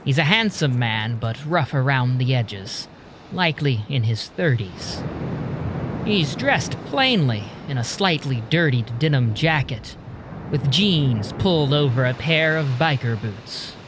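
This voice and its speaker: A man narrating a scripted audio drama in a measured, descriptive manner. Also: mid-range voice, animated, noisy background. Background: music.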